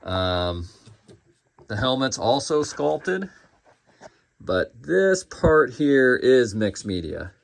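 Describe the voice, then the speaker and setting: A man's voice: mid-range voice, big pitch swings, drawn-out words, gravelly, long pauses. A man giving a casual, informal collector's product review, speaking hesitantly as he trails off and circles back over his points.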